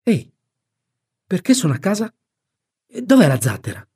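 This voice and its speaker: A man voicing a brash, excitable adventurous boy from a storybook, exclaiming and impulsive. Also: high voice, moderate pace, husky, pitched above the performer's own voice, exaggerated voice. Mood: groggy, eager.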